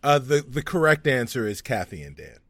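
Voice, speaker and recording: A man's voice: medium pitch, sing-song, a little fast, a bit bright, no echo, clean studio sound. A man bantering casually as a panelist on a game show.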